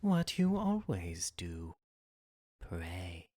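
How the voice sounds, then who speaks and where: A woman voicing a seductive, taunting devil in an audio drama, with a theatrical, commanding and drawn-out delivery. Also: a bass voice, very animated, dark tone, full character voice. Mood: tempting. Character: seductive, taunting devil granting dark bargains. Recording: hum and noise, home recording.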